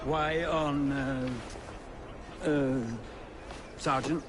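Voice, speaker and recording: Slow-spoken, exasperated police inspector. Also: male voice, a little high, little pitch movement, background noise. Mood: hesitant. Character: weary, exasperated male Victorian police inspector. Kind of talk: video game cutscene acting.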